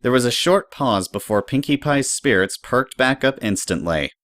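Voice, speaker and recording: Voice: medium pitch, lively intonation, normal speed, fairly bright tone, no room sound, studio quality. A narrator reading scripted story prose in an audio drama.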